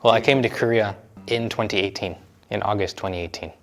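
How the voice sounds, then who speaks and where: A young man from the United States chatting casually in an interview, relaxed and often laughing as he talks. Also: fairly low pitch, a little fast. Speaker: interviewee, university student.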